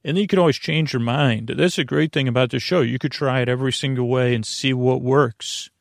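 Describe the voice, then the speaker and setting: A man with a heavily creaky, fry-laden voice delivers a meandering bedtime monologue as a podcast host. He speaks haltingly, drifting into digressions and circling back. The sound is very dry, with no room around the voice. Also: mid-range voice, steady tone, dry recording.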